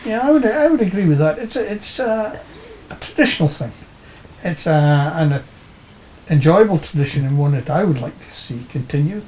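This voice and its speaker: A man giving a measured, somewhat formal interview answer, deliberate and repeating his phrases. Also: fairly high pitch, animated, a little mellow. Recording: faint hiss behind voice.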